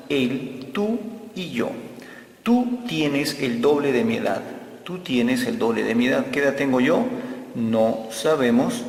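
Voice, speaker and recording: Male math teacher, unhurried and gravelly, repeats key steps; recorded in a reverberant room. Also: mid-range voice. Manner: slow, repeats key phrases while explaining. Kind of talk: step-by-step algebra lesson. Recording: echoey room with long reverb.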